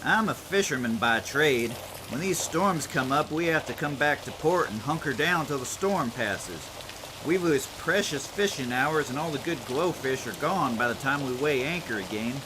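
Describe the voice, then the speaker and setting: A man's voice: mid-range voice, expressive, normal speed, noisy background, close to an everyday voice. A man playing a plain-spoken fisherman. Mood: complaining.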